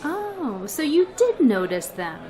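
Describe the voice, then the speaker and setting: A woman's voice: sits high, sing-song, takes it slowly, dark tone, heavily acted. A woman playing a long-suffering schoolteacher in an old-time radio comedy, with dry, sarcastic delivery. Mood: wry, indignant.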